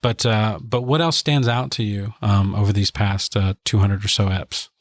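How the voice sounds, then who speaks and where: A man's voice: on the low side, steady tone, no room sound. Guy hosting a podcast, lots of ums, dry close audio. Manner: halting, hesitant, filler-heavy. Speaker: podcast host. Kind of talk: casual interview chat.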